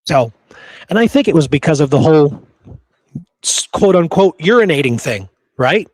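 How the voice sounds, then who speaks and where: A man's voice: on the high side, big pitch swings, moderate pace, no echo, rough recording. Emphatic male podcast host, dry close sound. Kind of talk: casual history discussion.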